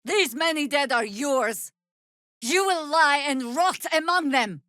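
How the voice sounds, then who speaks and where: An actress playing a fierce druid spellcaster in a video game, delivering commanding battle lines and incantations. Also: female voice, sits high, lively intonation, slow, sharp tone, no echo, clean studio sound, full character voice.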